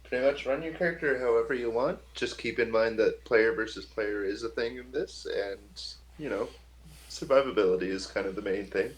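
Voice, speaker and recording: A man's voice: mid-range voice, fairly quick, low-quality recording. A man running a tabletop role-playing game as game master, talking casually and laughing easily with his players. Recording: faint background noise.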